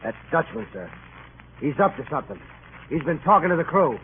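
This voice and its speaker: An actor playing a bullying, resentful ship's mate in an old-time radio drama, blunt and aggressive in manner. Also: male voice, a little high, sing-song, brisk, slight background noise. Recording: tinny, band-limited old radio recording.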